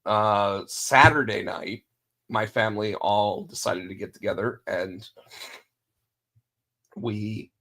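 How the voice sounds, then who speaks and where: A man hosting a casual podcast on his own, under the weather and losing his voice, rambling with lots of fillers, restarts and throat clearing. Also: on the low side, steady tone, slow, pauses often, pushed and bright, rough recording. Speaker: podcast host. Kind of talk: solo casual wrestling podcast talk.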